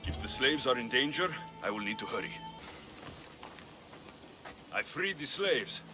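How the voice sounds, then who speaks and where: A man's voice: medium pitch, expressive, a little slow, natural voice. A man playing a principled young mercenary in a video game, speaking directly and firmly. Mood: firm, earnest. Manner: direct, firm. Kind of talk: dramatic game cutscene dialogue. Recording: music bed behind voice.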